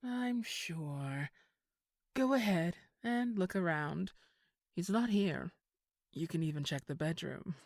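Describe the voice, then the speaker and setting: A woman's voice: lowish voice, lively intonation, slow, darkish tone, no room sound, studio quality, heavily acted. An actress playing a sly villainous woman in an audio drama, condescending with feigned sweetness. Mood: sweetly menacing. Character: sly, scheming villainous old flame, woman. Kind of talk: scripted audio drama acting.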